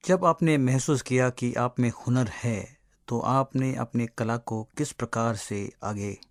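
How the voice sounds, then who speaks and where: A man's voice: mid-range voice, unhurried, dry recording, studio quality. A man interviewing a guest on radio, asking questions in a polite, appreciative way.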